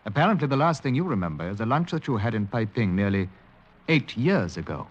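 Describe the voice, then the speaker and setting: An actor playing an older, considerate hospital doctor, speaking with tactful hesitation in 1940s radio style. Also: male voice, mid-range voice, sing-song, brisk, over music, natural voice. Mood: tactful, hesitant. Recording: dull, noisy old radio recording.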